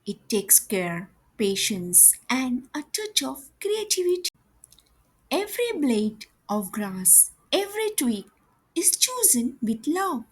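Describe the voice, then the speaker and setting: A woman voicing a kind, patient female weaver bird in a children's storybook, with a gentle manner. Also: high-pitched, big pitch swings, takes it slowly, breathy edge, heavily acted, poor audio. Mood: patient, warm. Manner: gentle, warm storybook delivery.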